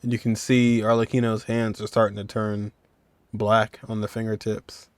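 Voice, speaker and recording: A man's voice: on the low side, little pitch movement, normal speed, dry recording. A man streaming a game, giving informal commentary and thinking aloud in a casual way.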